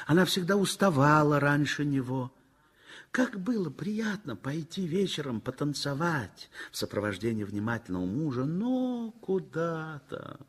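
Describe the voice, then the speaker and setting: A man with a husky, slightly breathy voice reads a literary story aloud for a radio play. His pitch rises and falls freely, and he lets each phrase swing with feeling. The delivery is measured and expressive, like classic radio theatre. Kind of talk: scripted literary narration, 1960s radio theatre.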